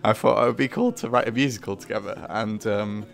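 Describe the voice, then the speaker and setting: A man's voice: fairly low pitch, fairly quick, creaky, faint music behind. A man giving casual behind-the-scenes commentary as a co-host, chuckly and hesitant in manner. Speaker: co-host, writer and puppeteer.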